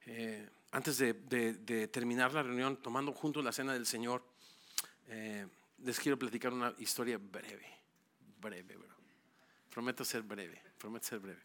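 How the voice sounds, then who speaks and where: A man's voice: mid-range voice, fairly even intonation, a little slow, sharp tone. A middle-aged man, a pastor giving a conversational sermon, stumbling over and repeating words. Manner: conversational, repeats words, calls listeners bro.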